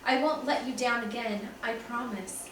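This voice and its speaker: A woman's voice: fairly high pitch, moderate pace, background noise, lightly acted. A woman voicing a remorseful young man who speaks earnestly and apologetically. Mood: grateful. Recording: echoey room, mic at a distance.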